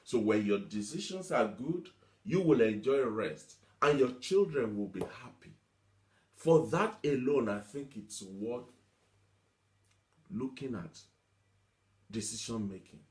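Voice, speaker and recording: A man's voice: mid-range voice, animated, slow pace, pauses often. A man preaching a motivational teaching sermon, emphatic, repeating himself and asking rhetorical questions. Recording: echoey room.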